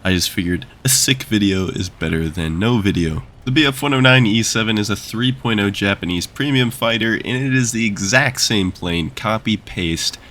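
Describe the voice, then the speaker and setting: A man's voice: on the low side, normal speed, few pauses, fairly bright tone. A young man with a nasal, congested voice from a cold, giving casual gameplay commentary that explains things and wanders into asides. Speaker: gaming YouTuber.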